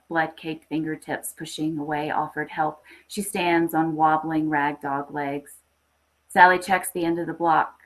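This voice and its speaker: A woman's voice: sits low, creaky, a bit bright, poor audio. A woman who hosts a story podcast, reading aloud and speaking with enthusiasm. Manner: enthusiastic, chatty. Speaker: podcast host and story reader. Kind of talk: dramatic story reading, then casual live hosting.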